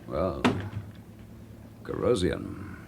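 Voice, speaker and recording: An actor in a radio drama playing a dogged, wary police chief inspector with terse, gruff replies. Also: male voice, very deep voice, sing-song, drawn-out words, a little mellow, exaggerated voice. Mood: guarded, curt. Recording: faintly noisy radio drama recording.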